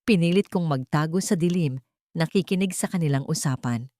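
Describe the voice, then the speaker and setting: A woman narrating a first-person dramatic audiobook in a steady, measured way. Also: sits low, no echo, studio quality.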